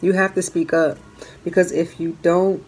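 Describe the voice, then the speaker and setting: Low-voiced woman giving a tarot reading. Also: flat delivery, normal speed, darkish tone, soft background music. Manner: earnest, repeats phrases while coaching the listener. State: hoarse, losing her voice. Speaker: tarot reader. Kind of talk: casual tarot reading for viewers.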